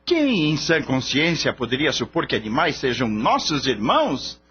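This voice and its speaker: Scoffing, animated Brazilian veterinarian boss, acted by a man. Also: mid-range voice, a little slow, faint music behind. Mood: mocking, amused. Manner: theatrical, derisive, scoffing. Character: mocking, cynical male veterinarian boss, laughing scornfully. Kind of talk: Brazilian radio drama acting. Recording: muffled, lightly noisy radio-play recording.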